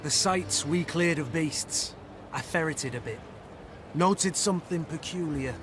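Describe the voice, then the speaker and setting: A man's voice: on the high side, measured pace, music in the background, lightly acted. Sly, silver-tongued rogue, played by a man. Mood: wry, teasing. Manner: sardonic, teasing, eloquent. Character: sly, roguish, witty outlaw adviser to queen. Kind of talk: scripted video game voice acting.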